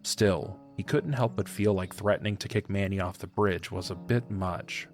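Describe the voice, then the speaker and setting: A man's voice: deep voice, moderate pace, faint music behind. A man narrating a fantasy audio drama in a scripted storybook style.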